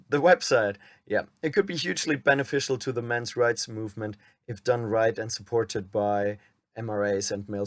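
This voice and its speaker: A man from Germany with a German accent, a channel host reading a prepared script aloud in a measured, somewhat wooden way. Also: medium pitch, no echo. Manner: admits his reading sounds wooden.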